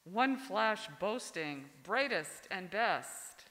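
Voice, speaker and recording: A woman's voice: medium pitch, lively intonation, drawn-out words, a crowd in the background. A woman giving a responsive liturgical reading in a church sanctuary. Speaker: liturgy reader. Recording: church hall reverb.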